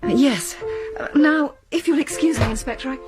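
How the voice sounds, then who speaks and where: A woman's voice: sits high, normal speed, over music, close to an everyday voice. A woman playing a nervous, polite headmaster's wife. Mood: uneasy. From Surrey, England. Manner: polite, nervous, eager to leave. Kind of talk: BBC radio drama acting.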